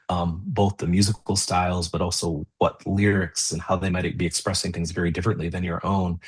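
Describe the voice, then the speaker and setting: A middle-aged man speaking as an interview guest, thoughtful and easy to laugh, animated when explaining. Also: sits low, slightly rough. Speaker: guest, composer and theology professor. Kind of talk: conversational interview.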